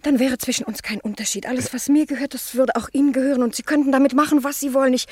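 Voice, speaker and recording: An actress in a 1960s German radio play voicing a shy, lonely, ageing niece who speaks in anguished, yearning, faltering phrases. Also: female voice, high voice, steady tone, very fast, darkish tone, husky, dry recording, studio quality. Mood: anguished, pleading. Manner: hesitant, faltering, repeating words.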